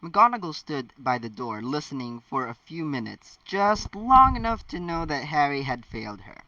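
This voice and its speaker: A man's voice: fairly high pitch, very animated, moderate pace, sharp tone, dry recording, recorded from a distance, muffled recording. A man hosting a casual story read-aloud, chatty and joking, with rambling asides. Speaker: host of a fan fiction reading channel.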